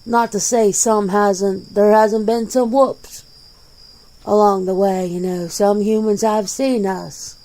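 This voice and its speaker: A woman's voice: mid-range voice, steady tone, slow pace, warm tone, poor audio. A woman voicing a jaded, defensive werewolf in their forties in an ASMR interview roleplay, talking bluntly and casually. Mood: matter-of-fact. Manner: blunt, casual, swearing, conversational.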